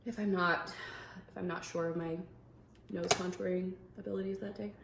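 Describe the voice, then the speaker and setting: A woman's voice: fairly low pitch, steady tone, normal speed, creaky. A young adult woman chatting in an upbeat way as she explains her makeup steps. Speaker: makeup vlogger. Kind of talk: casual makeup tutorial chat. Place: indoor room at a makeup table. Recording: echoey room with faint noise.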